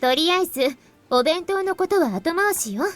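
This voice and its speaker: A woman playing a dependable, composed older schoolgirl who gently takes charge in an anime visual novel. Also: extremely high pitch, expressive, quick pace. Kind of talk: Japanese visual novel voice acting. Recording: music under the voice.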